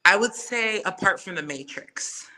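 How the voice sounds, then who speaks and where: A woman's voice: mid-range voice, animated, measured pace, bright, forceful tone, poor audio. A woman from Samoa, a native Samoan speaker, talking candidly and casually with slang and swearing as a podcast guest. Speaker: podcast guest, music artist. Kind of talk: casual conversational interview.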